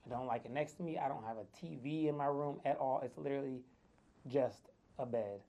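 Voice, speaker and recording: A man with a raspy voice, tired from too little sleep, talking casually to viewers with lots of fillers. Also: medium pitch, fairly quick, mellow, dull audio. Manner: chatty, loose, lots of fillers. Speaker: wellness vlogger. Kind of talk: casual talk to camera.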